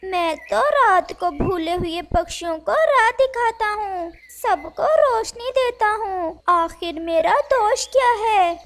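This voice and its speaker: Small firefly child, male, played by a woman: very high, swooping, plaintive yet brave cartoon voice. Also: lively intonation, slow, few pauses, heavily acted. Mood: pleading. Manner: plaintive, pleading. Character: small captive young firefly boy, pleading, brave.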